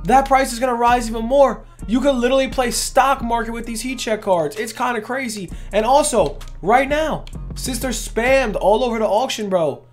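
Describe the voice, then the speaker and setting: A young man with a voice going hoarse, hosting a casual gaming video in hyped, rambling talk full of "literally" and "bro". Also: very high-pitched, normal speed, soft background music. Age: young adult. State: losing his voice. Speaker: gaming channel host. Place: indoors at a gaming setup, face cam.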